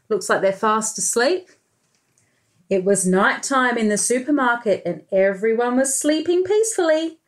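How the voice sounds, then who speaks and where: An Australian-accented woman, a preschool teacher in her thirties, reading a picture book aloud expressively with questions and deliberate emphasis. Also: medium pitch. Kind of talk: picture-book read-aloud for young children. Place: small room indoors by a window.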